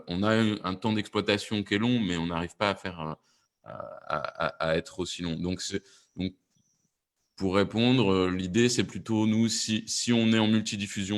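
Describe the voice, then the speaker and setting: Male producer on a panel, very deep voice, halting search for phrasing. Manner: halting, repeats words while searching for phrasing. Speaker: audio fiction producer, panel guest. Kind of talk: panel discussion, explanatory.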